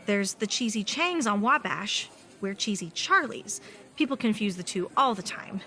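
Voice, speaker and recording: Woman acting lively, teasing young waitress. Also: mid-range voice, normal speed. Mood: polite, awkward. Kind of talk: comedic radio play acting.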